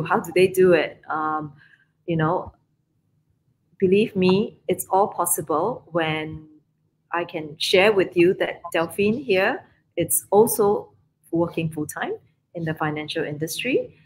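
A woman's voice: fairly low pitch, unhurried, a little hiss or hum, low-quality recording. A woman in her forties from Singapore with a Singaporean English accent, hosting a casual live-streamed business chat over a video call, upbeat and chatty. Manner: upbeat, chatty, many fillers. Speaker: podcast host. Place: room abroad, indoors, video call. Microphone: webcam on video call.

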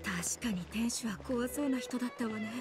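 Woman acting a gossipy, high-voiced townswoman. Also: normal speed, music in the background, close to an everyday voice. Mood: gossipy, uneasy. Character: gossipy townswoman, disapproving customer. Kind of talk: Japanese game voice acting.

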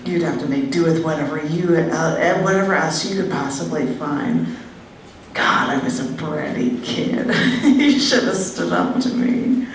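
A middle-aged woman reads a narrative poem aloud in an emphatic, conversational way. Her voice sits at a medium pitch. The live open mic recording is heavily reverberant, with a faint noise over it. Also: gravelly. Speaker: poet. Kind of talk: expressive live poetry reading of a personal narrative. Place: indoor room at a poetry open mic. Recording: echoey, noisy room, distant-sounding live recording.

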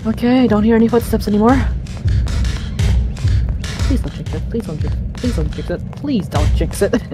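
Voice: medium pitch, lively intonation, moderate pace, over music. A player chatting casually with friends during a game, nervous and often repeating short phrases. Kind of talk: casual gameplay chat with friends.

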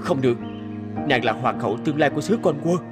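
Man playing an earnest, animated young knight. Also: medium pitch, quick pace, music in the background, close to an everyday voice. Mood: torn, smitten. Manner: earnest, formal, solemn. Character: brave, dutiful young male knight torn by love. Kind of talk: dubbed cartoon fairy tale acting.

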